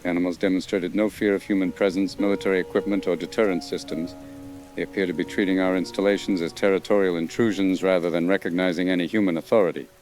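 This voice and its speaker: Low-voiced dutiful sergeant played by a man. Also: steady tone, quick pace, darkish tone, faint music behind, lightly acted. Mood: grim, formal. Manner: formal, procedural, sober. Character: dutiful male army sergeant reporting disaster. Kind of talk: military radio report in audio drama.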